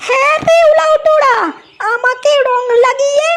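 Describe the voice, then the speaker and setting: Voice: extremely high pitch, fairly even intonation, measured pace, background noise. A voice actor playing a scolding, whiny cartoon housewife or granny in a comedy dub, squawking in an exaggerated way. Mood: brash, scolding.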